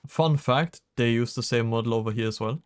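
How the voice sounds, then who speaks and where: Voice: medium pitch, a little fast, no echo, studio quality. A game streamer commentating casually while playing, jokey and thinking aloud.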